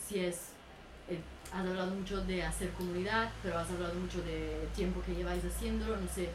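A woman in the audience asks a question, stumbling and searching for her words in a somewhat low voice. The echoey room carries clear background noise around her. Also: steady tone, fairly quick. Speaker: audience member asking a question.

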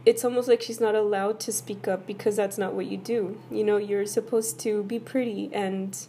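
A woman from El Paso, Texas, hoarse from a cold, talking casually and personally to her viewers, leaning on "you know" and "right?". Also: medium pitch, mellow, a little hiss or hum. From El Paso, Texas, USA. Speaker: vlogger. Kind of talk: casual personal talk to camera.

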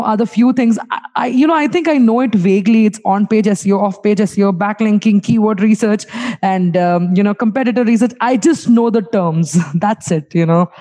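A chatty woman host, a small business owner, asking questions over a video call. Her voice is dark and mellow. She repeats words and laughs often as she talks. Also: medium pitch. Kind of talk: casual interview talk.

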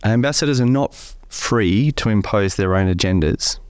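A man co-hosting a faith podcast, slightly croaky from a cold, talking in a relaxed way with many fillers. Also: on the low side, moderate pace, dry recording, studio quality. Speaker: podcast co-host. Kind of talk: casual faith podcast chat.

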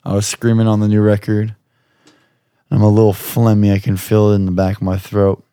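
A young man hosting a podcast, hoarse from screaming, talking in a rambling, profane, jokey way. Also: lowish voice, monotone, fairly quick, dark tone, no room sound, clean studio sound. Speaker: podcast host. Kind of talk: casual chat.

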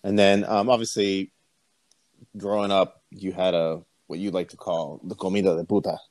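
A man from Puerto Rico co-hosting a podcast, telling stories in a relaxed, easygoing way with plenty of ums. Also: low-pitched, normal speed, no room sound. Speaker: podcast co-host. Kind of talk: casual chat.

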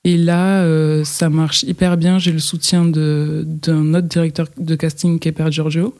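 Female model, interview guest, mellow low-toned voice, hesitant delivery with lots of fillers. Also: level tone, measured pace. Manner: hesitant, full of fillers and restarts. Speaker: fashion model. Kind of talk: casual interview chat.

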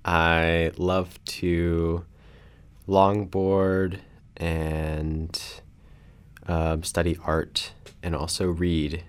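Young guy from north carolina, really deep voice, kinda rambly and unsure. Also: completely flat delivery, very slow, smooth. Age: young adult. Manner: hesitant, rambling, many ums. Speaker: guest, college student. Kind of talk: casual chat.

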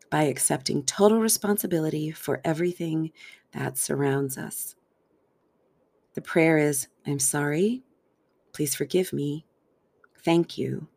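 A woman's voice: sits low, darkish tone. A woman hosting her own wellness podcast as a therapist and coach, talking alone in an affirming way with playful asides, seated in a comfy chair indoors. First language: English. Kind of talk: solo podcast monologue.

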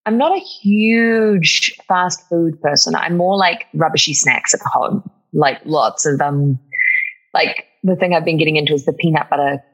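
A young woman from New Zealand with a New Zealand accent, chatting as a guest in a relaxed interview, with a lilting upspeak. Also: low-pitched, unhurried, slightly rough, lo-fi sound. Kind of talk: casual interview chat.

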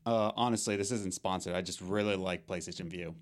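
A man's voice: low voice, fairly even intonation, fairly quick. A young man co-hosting a casual gaming podcast, rambling with lots of fillers and restarts. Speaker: podcast co-host.

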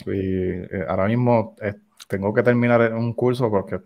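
A man's voice: on the low side, fairly even intonation, normal speed, creaky, darkish tone. A man with a Puerto Rican accent, an actor guest chatting casually with many fillers. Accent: Puerto Rican Spanish. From Puerto Rico. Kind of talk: casual chat.

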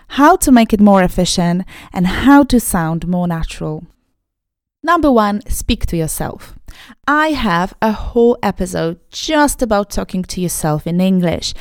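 Give me a Polish woman with a Polish accent hosting an English lesson podcast, medium pitch, in a dry, dead room. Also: a little mellow, clean tone, no room sound. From Poland. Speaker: English teacher, podcast host. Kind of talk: podcast lesson, talking to learners.

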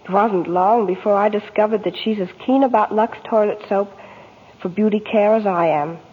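A woman's voice: mid-range voice, normal speed, breathy edge. A young woman from New York giving a scripted, genteel 1940s radio testimonial as a guest actress. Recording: dull old radio, long hall reverb.

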